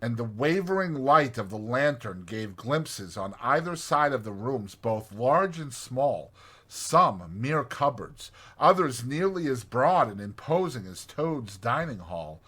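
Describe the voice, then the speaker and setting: Male audiobook narrator, scripted storybook reading, forceful and clear-edged, lively intonation. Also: mid-range voice, takes it slowly.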